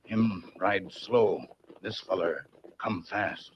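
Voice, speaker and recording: A man's voice: deep voice, slow. An actor playing a stoic, wounded, watchful male sidekick who speaks terse broken English in an old radio western. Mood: alert. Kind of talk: 1940s radio western acting. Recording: muffled, noisy old radio recording.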